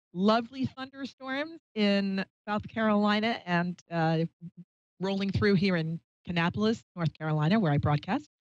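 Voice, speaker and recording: A woman hosting a live call-in radio show in a chatty, scattered, self-deprecating way. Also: lowish voice. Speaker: radio talk show host, psychic medium. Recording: dull, roomy live stream audio.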